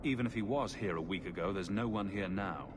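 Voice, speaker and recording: A man's voice: sits low, a little fast, noisy background, lightly acted. A man playing a resolute, businesslike hero in a video game, speaking in a direct, serious manner. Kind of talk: video game dramatic acting.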